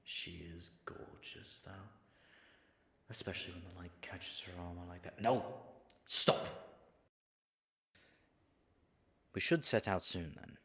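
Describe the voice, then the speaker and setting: A man's voice: sits low, unhurried, fairly bright tone, breathy edge, exaggerated voice. A man acting in an audio roleplay as a nervous male dragon disguised as a human guide, stammering and muttering asides to himself. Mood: musing, wary, then brisk, feigned confidence.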